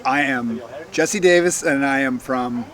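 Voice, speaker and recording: This is a man from North Carolina, a bikepacking racer answering an interviewer. He talks in a plain, matter-of-fact way at a middle pitch and goes into detail about routes. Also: measured pace, a bit bright.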